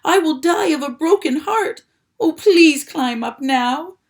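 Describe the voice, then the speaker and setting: Woman reader doing a young male pig: high, loud, desperate, storybook. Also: expressive, unhurried. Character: frantic young male pig, emotional and pleading.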